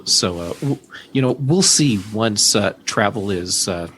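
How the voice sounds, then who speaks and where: A man with a fairly low voice talks casually as a podcast guest. He is conversational and emphatic, and there is faint noise behind him. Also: lively intonation, measured pace, a bit bright, rough recording. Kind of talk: casual tech chat.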